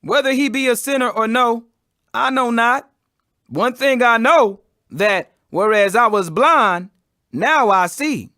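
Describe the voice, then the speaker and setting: A man's voice: extremely high pitch, slow pace, sharp tone, no room sound, clean studio sound, voice pushed up, heavily acted. A man voicing a plainspoken, defiant beggar healed of blindness, emphatic and declamatory, in a scripture reading. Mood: firm.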